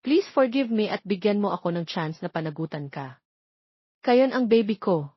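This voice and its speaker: Woman as an earnest, apologetic young man, husky. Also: mid-range voice, lively intonation, normal speed, no echo, highs cut off. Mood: remorseful, pleading. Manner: pleading, explanatory. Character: apologetic, earnest, persistent young bachelor suitor. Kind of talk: dramatized romance audio story.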